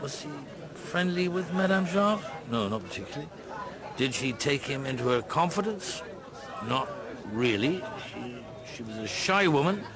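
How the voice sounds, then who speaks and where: A middle-aged inspector at leisure in a radio drama. He muses and hesitates, with lively rises and falls in his voice, while a crowd bustles around him. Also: fairly high pitch, moderate pace. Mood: absorbed, curious. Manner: hesitant, musing, mumbling hesitations. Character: retired-seeming police inspector on holiday, mulling, curious, husband.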